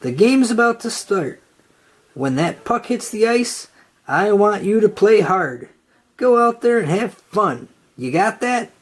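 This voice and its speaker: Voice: mid-range voice, sing-song, measured pace, slightly rough, voice pushed up. A storybook reader voicing a cheerful, encouraging, folksy female cartoon animal. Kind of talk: children's storybook character read-aloud.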